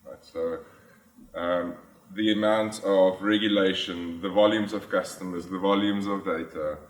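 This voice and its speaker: A man's voice: sits low, steady tone, measured pace. South African man speaking in echoey hall. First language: Afrikaans. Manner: frequent ums and restarts, plain explanatory delivery. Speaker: conference speaker. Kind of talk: conference presentation. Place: large conference hall. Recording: echoey hall, from far off the mic.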